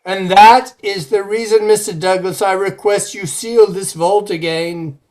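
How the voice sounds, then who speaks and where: An actor playing a solemn village preacher in an old-time radio drama, speaking in a grave, measured, formal way. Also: male voice, sits high, fairly even intonation, darkish tone.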